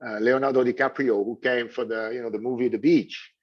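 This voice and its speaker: A man answers an interviewer's questions, stopping and restarting often and leaning on "you know" between phrases. His voice sits in an ordinary middle pitch. The recording is a little dull and lo-fi. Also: steady tone. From Switzerland. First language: French. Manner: halting, many "you know" fillers and repeated words. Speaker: hotel general manager. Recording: dull lo-fi audio.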